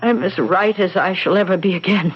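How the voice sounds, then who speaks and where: Actress as grieving housekeeper on lo-fi radio. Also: female voice, medium pitch, husky, close to an everyday voice. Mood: grim, resigned. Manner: halting, sorrowful storytelling. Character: grieving female housekeeper, haunted and solemn. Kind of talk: old-time radio drama acting. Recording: dull lo-fi radio recording.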